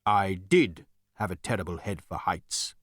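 A man voicing a disembodied spirit in an audiobook, soft and ethereal, speaking slowly with wistful courtesy. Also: mid-range voice, sing-song, no room sound, studio quality. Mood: wistful, apologetic. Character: disembodied male spirit, deep, quiet, ethereal, wistfully polite. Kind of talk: audiobook character voice.

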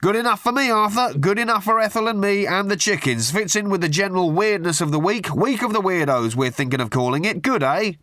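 A man's voice: high voice, brisk, few pauses, bright, forceful tone, dry recording, clean studio sound, pitched above the performer's own voice. A man voicing a garrulous male tabloid journalist who babbles nonsense endearments on the phone in an audiobook. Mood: gushing. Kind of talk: audiobook character voice.

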